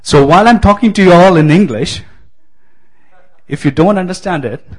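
Keralan man preaching with many pauses. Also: high-pitched, expressive, slightly rough, rough recording. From Kerala, India. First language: Malayalam. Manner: hesitant, many fillers and restarts, friendly. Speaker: guest preacher. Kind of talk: sermon.

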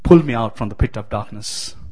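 Keralan man preaching with many pauses. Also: on the low side, expressive, warm tone, husky. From Kerala, India. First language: Malayalam. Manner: hesitant, many fillers and restarts, friendly. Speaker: guest preacher. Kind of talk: sermon.

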